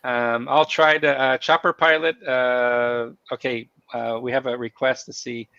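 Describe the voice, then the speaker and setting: Gravelly man with a cold, halting. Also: medium pitch, level tone, sharp tone, no room sound, lo-fi sound. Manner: halting, many fillers and restarts. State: has a cold, losing his voice. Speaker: host of company town hall stream. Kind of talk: live stream chat.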